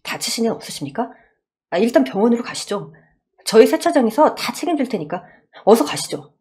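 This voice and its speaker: A woman's voice: on the high side, big pitch swings, a little fast, warm tone, voice pushed up. A woman voicing an earnest, polite male car wash manager with an urgent, deferential manner in a story drama. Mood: concerned, polite.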